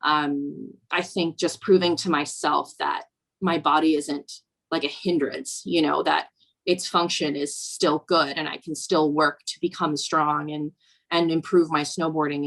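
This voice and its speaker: Low, gravelly-voiced young woman. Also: level tone, moderate pace, fairly bright tone. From Virginia, USA. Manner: reflective, with many fillers like "um" and "you know". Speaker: snowboarder interviewee. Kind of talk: documentary interview.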